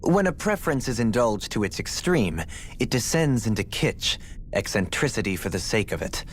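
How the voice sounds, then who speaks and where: Lofty cultured scholar, formal, lecturing, lively pitch, faint noise. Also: male voice, normal speed. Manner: formal, articulate, slightly lecturing.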